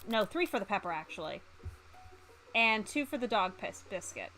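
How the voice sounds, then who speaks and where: A woman streamer chatting casually and reading game text aloud, hesitant and thinking out loud. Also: mid-range voice, sing-song, normal speed, faint music behind. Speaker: streamer playing a visual novel for viewers.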